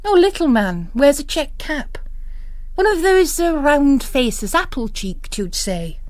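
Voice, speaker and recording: A woman's voice: sits high, sing-song, slow, a little mellow, no room sound, voice pushed up, exaggerated voice. An ageing, faithless priest, as voiced by a woman reader, agitated and full of rhetorical questions. The voice is close and dry, worldly and weary, as in a radio book reading. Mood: conspiratorial, indignant. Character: agitated ageing male priest who lost his faith.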